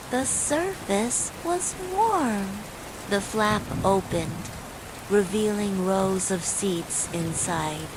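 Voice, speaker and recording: A woman reading a scripted horror bedtime story, with falling rain behind her. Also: mid-range voice. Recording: steady rain noise throughout.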